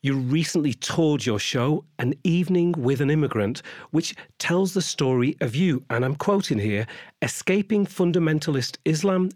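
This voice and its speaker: A man's voice: on the high side, a little mellow, dry recording, clean studio sound. A man hosting an interview, introducing his guest and topics in a courteous, formal manner. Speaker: host.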